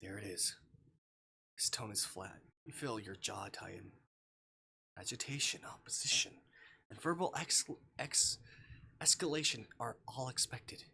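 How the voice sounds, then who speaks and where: A man's voice: lowish voice, animated, a little slow, creaky, long pauses, pushed and bright, husky. A man streaming a dramatic read-aloud of visual novel text, sometimes stumbling over words and rereading them.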